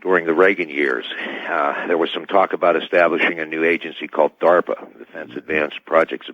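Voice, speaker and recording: A middle-aged man giving formal, halting technical explanations as an expert guest in a radio interview, over a dull band-limited connection. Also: extremely low pitch, steady tone, no echo. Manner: hesitant, formal, technical wording. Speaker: expert guest, scientist and inventor.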